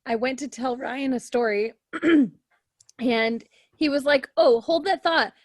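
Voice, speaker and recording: High voiced lady podcast cohost, giggly and animated, casual chat, no room echo at all. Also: slow. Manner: giggly, animated anecdote telling. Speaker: podcast co-host.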